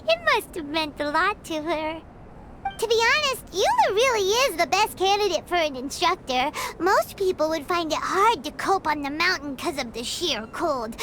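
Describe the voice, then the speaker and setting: An excitable little fairy sidekick from a video game chatters in an extremely high, childlike voice. Her melody swings in a lively way, every thought an exclamation, over loud background noise. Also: measured pace, heavily acted. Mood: excited. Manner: refers to self in third person, exclamatory. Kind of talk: animated game voice acting.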